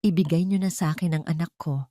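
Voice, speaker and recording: A woman's voice: low-pitched, steady tone, fast talker, warm tone, no echo, studio quality, close to an everyday voice. A woman voicing a desperate but steely mother in an audio drama, pleading with control.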